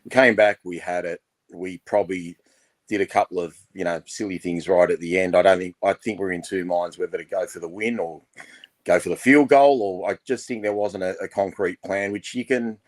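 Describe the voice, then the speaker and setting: A deep-voiced Australian man talks loosely on a podcast, his voice still hoarse as it recovers. He rambles along with plenty of fillers and restarts. The recording is dry and close. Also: brisk, dry recording. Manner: relaxed, rambling, many fillers. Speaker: podcast co-host. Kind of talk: casual chat.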